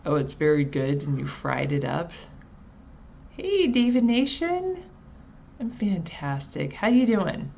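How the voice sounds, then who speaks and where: A woman co-hosting a casual live chat show, relaxed and chatty, answering viewers by their usernames. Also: lowish voice, slow, gravelly, dull audio, a little hiss or hum.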